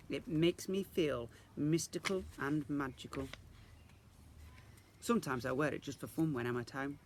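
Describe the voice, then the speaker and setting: A man reading a children's story aloud as a teacher would, in an expressive narrating style. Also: medium pitch, gravelly, slight background noise.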